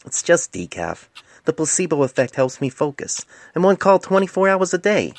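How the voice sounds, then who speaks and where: A man's voice: a little high, sing-song, moderate pace, voice pushed up. A man voicing a weary, sarcastic young male pony doctor in an amateur fan fiction reading. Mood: defensive. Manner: sardonic, indignant.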